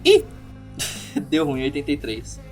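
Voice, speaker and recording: A man's voice: a little high, wildly swooping pitch, measured pace, a little mellow, soft background music. A man playing a tabletop roleplaying game, casually describing his character's actions in the first person.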